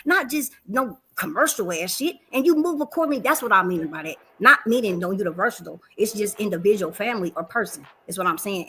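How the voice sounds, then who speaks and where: A woman's voice: medium pitch, fairly bright tone. A woman in her forties arguing her point in a heated casual debate, emphatic and profane, repeating phrases for stress. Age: 40s.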